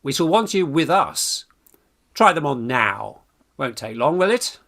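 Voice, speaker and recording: Man acting a bossy, bright-voiced young English gentleman. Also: fairly high pitch, sing-song, a little slow, heavily acted. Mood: brisk, urging. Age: young adult. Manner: commanding, exclamatory, slightly stumbling. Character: bossy, breezy, eager young Victorian student gentleman. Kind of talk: radio play farce acting.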